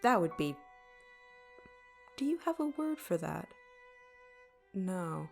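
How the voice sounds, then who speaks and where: A woman's voice: lowish voice, big pitch swings, takes it slowly, vocal fry, a little mellow, faint music behind, full character voice. A woman playing an apologetic, inquisitive starship mind in an audio drama, speaking conversationally with small hesitations. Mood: apologetic, concerned. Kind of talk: audio drama dialogue and log entries.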